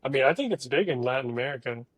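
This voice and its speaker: Relaxed male co-host, inquisitive; dry, close recording. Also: mid-range voice, rapid-fire, slightly rough, dry recording, low-quality recording. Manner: relaxed, interested questioner. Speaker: podcast host. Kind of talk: casual podcast chat.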